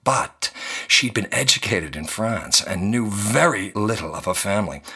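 An actor playing a courteous, knowing male curator in a radio drama, gossipy and deliberate, with meaningful pauses. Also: lowish voice, animated, sharp tone, breathy edge, heavily acted. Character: courteous, knowing male small-town historical society curator.

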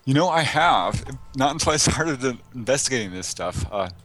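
A man speaking casually and a little haltingly as a guest in an interview. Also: mid-range voice, lively intonation, fairly bright tone. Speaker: interview guest, UFO investigator. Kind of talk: casual conversational interview answers.

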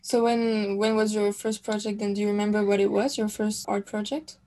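A woman's voice: mid-range voice, steady tone, moderate pace, warm tone, poor audio. A woman hosting a podcast, politely prompting her guest with questions. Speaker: podcast host. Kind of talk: podcast interview.

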